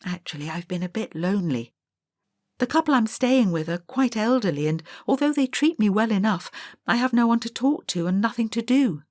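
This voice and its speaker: A woman's voice: fairly high pitch, expressive, moderate pace, a little mellow, no room sound, studio quality, pitched above the performer's own voice, close to an everyday voice. A woman voicing a shy, lonely young evacuee girl in an audiobook, with a wistful, childlike way of talking.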